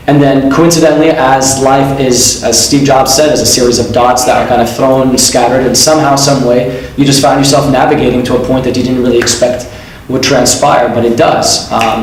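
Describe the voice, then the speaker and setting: A man speaks earnestly and reflectively in an interview, pausing often on "uh" and "um." His voice sits at an ordinary middle pitch. It reaches the mic from a distance in a strongly reverberant room, with faint ambience behind. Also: a little hiss or hum. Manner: earnest and reflective, many "uh" and "um" pauses. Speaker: admissions consultant and company founder. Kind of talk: interview answers to an off-camera question.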